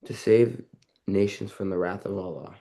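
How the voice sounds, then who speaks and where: A man answers catechism questions in a religious class. His voice is low and gravelly with a dark tone. He recites set answers plainly, then hesitates with likes and ums when explaining in his own words. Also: normal speed. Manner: hesitant, filler-heavy when speaking freely. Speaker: class student.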